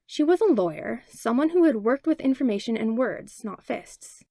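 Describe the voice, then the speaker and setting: A woman narrating a fanfiction audiobook in an expressive read-aloud manner on a close mic. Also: a little high, normal speed, vocal fry, no echo. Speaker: fanfiction podfic reader. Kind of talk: storybook narration.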